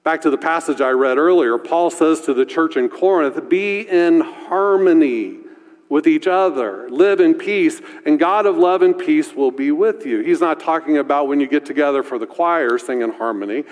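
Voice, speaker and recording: Deep-voiced preacher guy, chatty sermon, keeps saying right?, long hall echo. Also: moderate pace. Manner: conversational, asks "right?" often. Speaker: pastor.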